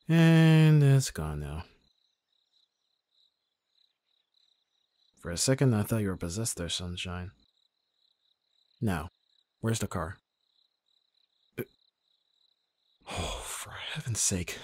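A man playing a gruff, protective young bodyguard in an ASMR roleplay, with a husky voice and a sardonic, intimate delivery. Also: lowish voice, darkish tone, no echo. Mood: dry, sardonic. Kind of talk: ASMR roleplay audio drama.

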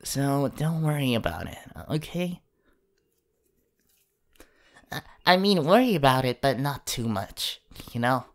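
A woman voicing a gentle, awkward, encouraging young male superhero in an ASMR comfort role-play, speaking haltingly and at times in a whisper. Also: rumbling low, sing-song, exaggerated voice. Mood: reassuring. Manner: hesitant, halting, partly whispered.

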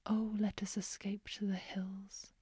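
A woman softly whispering the lines of a frightened young princess. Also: mid-range voice, flat delivery, a little slow, breathy edge, no room sound. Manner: whispered, pleading.

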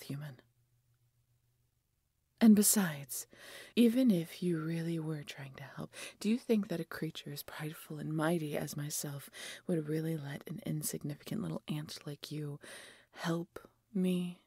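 Woman voicing a husky, low, proud dragoness. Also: darkish tone, no echo, clean studio sound, exaggerated voice. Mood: scornful, suspicious. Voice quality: breathy, husky. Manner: imperious, theatrical, deliberate phrasing. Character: proud, mighty wounded female dragon, haughty and grudging. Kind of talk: fantasy audio roleplay acting.

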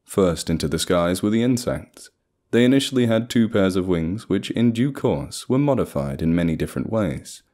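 A man reading scripted, dramatic narration as a storyteller, with a husky voice. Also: low voice, animated, normal speed, dark tone. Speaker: voice actor, narrator.

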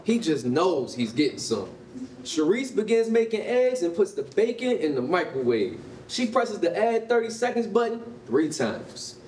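A man's voice: sits high, big pitch swings, moderate pace, darkish tone. A young man giving scripted storybook narration at a live staged reading. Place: indoor rehearsal hall, standing at music stand. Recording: echoey hall, distant mic, faint noise.

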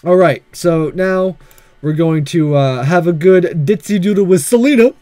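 A man's voice: sits high, moderate pace, dark tone, no room sound, soft background music. A man hosting a game playthrough, chatting casually in a goofy, jokey, theatrical way. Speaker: gaming video host reading and reacting. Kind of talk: casual let's-play commentary.

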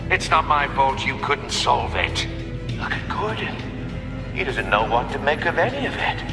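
An actor playing a sly, gleefully condescending supervillain in a video game, taunting and theatrical. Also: male voice, medium pitch, big pitch swings, moderate pace. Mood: mocking. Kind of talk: video game villain acting. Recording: echoing hall, music behind.

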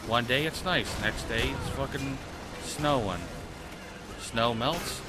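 A man's voice: medium pitch, takes it slowly, over loud music. A man hosting a gaming channel, talking casually over gameplay with a stuffy nose, rambling and swearing at the game. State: stuffy nose from sinus trouble. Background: game gunfire and vehicles.

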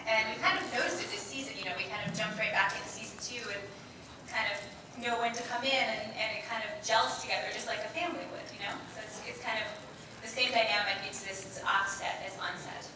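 A woman's voice: medium pitch, quick pace. A woman actor chatting casually on a convention panel, laughing often and using many fillers. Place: large convention hall, audience. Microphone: table mic, filmed from far in crowd. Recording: echoey hall from far off, noisy.

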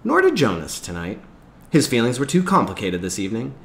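A man reading a novel aloud as a teacher, in expressive storytelling narration. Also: mid-range voice, brisk, vocal fry. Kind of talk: expressive audiobook-style narration.

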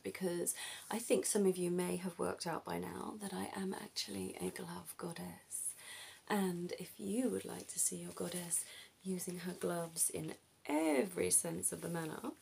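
A woman's voice: on the low side, unhurried, gravelly, darkish tone, slight background noise. A woman in her thirties chatting casually and promotionally to her viewers as an ASMR creator. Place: decorated room indoors.

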